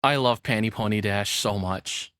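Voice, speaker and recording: A man's voice: on the low side, moderate pace, a bit bright, no room sound, clean studio sound. A man giving casual, playful, tongue-in-cheek live commentary as a streamer reacting to a video.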